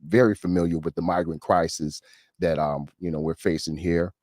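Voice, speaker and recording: A man's voice: deep voice, fairly even intonation, normal speed, a little mellow, no echo, studio quality. An American man hosting an interview in a conversational style, agreeing and affirming as he goes. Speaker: interview host.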